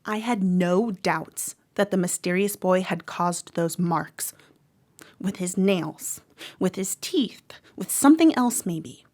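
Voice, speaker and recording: A woman playing a troubled, protective teenage girl who narrates a horror audio drama in a measured, dramatic way. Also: fairly low pitch, a little mellow. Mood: hushed, disturbed. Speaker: actor narrating. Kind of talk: first-person horror audio drama monologue.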